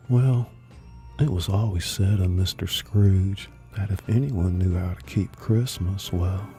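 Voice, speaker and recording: A man playing a dark-voiced, gentle storyteller. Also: low voice. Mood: wry, warm. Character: kindly storyteller narrator recounting Scrooge's tale to young listeners.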